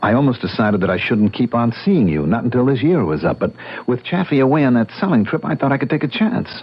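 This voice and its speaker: An actor playing an earnest, stubborn young American bachelor in an old-time radio drama, explaining himself emphatically. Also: male voice, mid-range voice, big pitch swings, quick pace, breathy edge. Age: young adult. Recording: muffled radio drama recording.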